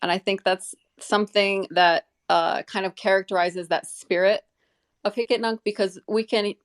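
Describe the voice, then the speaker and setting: A woman's voice: mid-range voice, steady tone, normal speed. A woman talking in a casual, earnest and rambling way in a live audio discussion.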